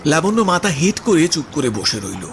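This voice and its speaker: Expressive male narrator with wide-ranging pitch. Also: normal speed, a little hiss or hum. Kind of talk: expressive literary story narration.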